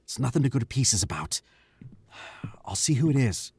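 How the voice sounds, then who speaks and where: A man playing a smooth, arrogant young murderer in an old-time radio drama recreation, clipped and theatrical. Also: medium pitch, very animated, moderate pace, background noise. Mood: scheming. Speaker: radio play actor.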